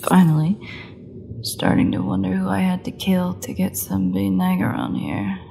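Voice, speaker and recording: A very gravelly, crackling female vampire played by a woman, locked up and starving yet cocky and menacing. She mocks her jailers in a sardonic, unhurried drawl that swings from high lilts to low drops, as in a dark audio drama. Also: big pitch swings, faint music behind, exaggerated voice. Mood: mocking. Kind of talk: solo audio roleplay acting.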